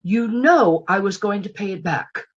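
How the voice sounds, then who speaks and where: A woman's voice: mid-range voice, lively intonation, unhurried, breathy edge. A distressed young housekeeper in a Western radio play. Her apologetic voice rises and falls expressively, in a slightly muffled, lo-fi recording. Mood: pleading. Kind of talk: Western radio drama acting.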